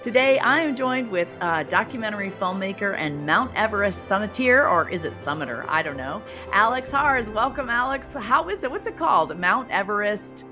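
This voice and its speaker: A man's voice: high voice, animated, normal speed, few pauses, sharp tone, soft background music. A man hosting a podcast interview in a chatty, rambling way, full of fillers. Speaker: podcast host. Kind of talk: casual interview chat. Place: Chicago.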